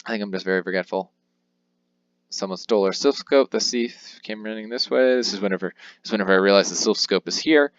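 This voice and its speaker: A man's voice: fairly low pitch, quick pace, no room sound, lo-fi sound. A young man casually commenting on his playthrough of a handheld game, rambling and poking fun at himself. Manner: rambling, self-deprecating, reads game text aloud in voices. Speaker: let's play host.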